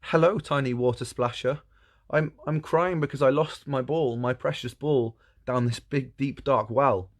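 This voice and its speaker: A man's voice: medium pitch, unhurried, pitched above the performer's own voice, close to an everyday voice. A man voicing a spoiled, dim and overdramatic young princess in a comic fairy tale, pleading and slangy. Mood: tearful, pleading.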